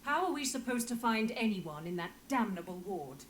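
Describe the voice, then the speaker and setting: A woman's voice: medium pitch, big pitch swings, moderate pace, slight background noise, close to an everyday voice. A woman voicing a blunt, impatient marshal in a video game, with a demanding manner. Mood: demanding, irritated.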